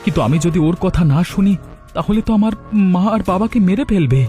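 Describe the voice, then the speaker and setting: A man's voice: sits high, expressive, fast, warm tone, soft background music. An actor voicing a timid but honest young village man in a cartoon, speaking earnestly and apologetically. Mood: worried. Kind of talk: cartoon voice acting.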